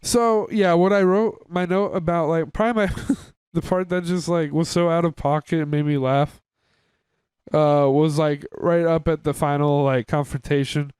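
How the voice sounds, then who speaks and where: A man's voice: a little high, fairly even intonation, moderate pace, darkish tone, smooth, no room sound. A man co-hosting an informal chat, recapping in a loose, hesitant way with casual swearing. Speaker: podcast co-host. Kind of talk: casual chat reviewing cartoon episodes.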